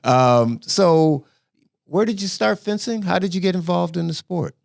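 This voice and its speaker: A man's voice: sits high, measured pace, vocal fry, no echo. Joking male podcast host, dry sound. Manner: friendly, joking, leading questions. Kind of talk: casual interview hosting.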